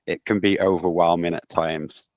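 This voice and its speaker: A man with a very low voice hosts a podcast interview. He talks loosely, with plenty of "like" and "um," and keeps up a steady stream of supportive "yeah"s. The sound is dry and close, with no room around it. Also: moderate pace, dry recording, dull audio. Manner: loose, filler-heavy, encouraging backchannels. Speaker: podcast host. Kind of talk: casual interview chat.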